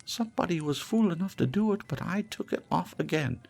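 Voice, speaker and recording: A man's voice: a little high, expressive, brisk, vocal fry, a little mellow, voice pushed up. A man voicing a coarse, cold-hearted, brazen scavenging woman in a Victorian storybook reading. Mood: scornful, defensive. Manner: brash, unashamed Victorian working-class talk.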